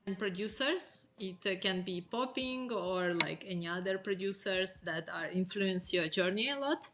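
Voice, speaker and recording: A woman chatting casually as a DJ co-host on a video call, speaking in a halting, hesitant way. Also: mid-range voice, noisy background. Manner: slow, hesitant, halting phrasing. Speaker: DJ and podcast co-host. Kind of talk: casual chat.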